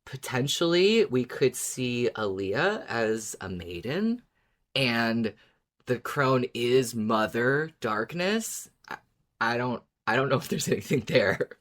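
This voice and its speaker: A podcast guest of unclear sex chatting casually, with dry, deliberate and emphatic phrasing. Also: medium pitch, sing-song, fairly bright tone.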